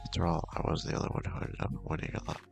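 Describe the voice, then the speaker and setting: A man voicing a clingy, possessive young woman in a horror visual novel, halting and hushed, with music behind. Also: lightly acted. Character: clingy, brooding young female best friend, intense and possessive.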